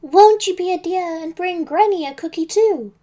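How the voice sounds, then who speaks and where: A woman's voice: on the high side, big pitch swings, measured pace, darkish tone. A woman acts out a hungry male wolf from a children's storybook. He is a hapless schemer who speaks in a plaintive, melodramatic way. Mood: wheedling.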